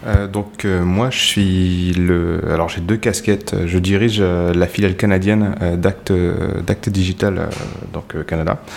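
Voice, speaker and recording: A man with a very deep voice speaks as a podcast guest and pauses often on fillers. A faint hiss sits under his voice. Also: steady tone, creaky, darkish tone. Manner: halting, frequent fillers. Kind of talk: casual podcast discussion.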